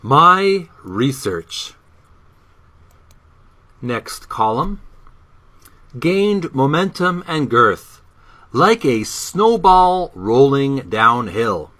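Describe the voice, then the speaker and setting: A man reading a book introduction aloud as narration, with deliberate, emphatic phrasing. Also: medium pitch, big pitch swings, pushed and bright.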